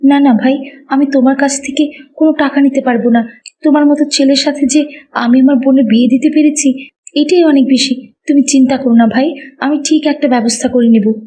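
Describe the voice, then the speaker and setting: Woman playing a high, mellow, devout elder sister. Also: fairly even intonation, brisk, close to an everyday voice. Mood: gentle, resolute. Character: devout, self-sacrificing elder sister, burdened young working woman. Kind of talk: Islamic moral cartoon dialogue.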